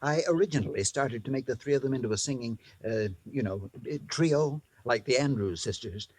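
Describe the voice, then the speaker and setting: A man's voice: medium pitch, fairly quick, a little mellow, a little hiss or hum, close to an everyday voice. An actor playing a fussy showman who trains performing mice in a 1940s radio drama, earnest and grandiloquent.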